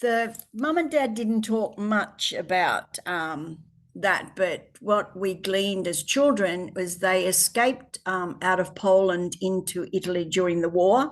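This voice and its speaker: A woman in her seventies with an Australian accent, recalling her family's past in an interview, pausing often with ums. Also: mid-range voice. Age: 70s. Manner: hesitant, many ums, reflective. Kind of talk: oral history interview.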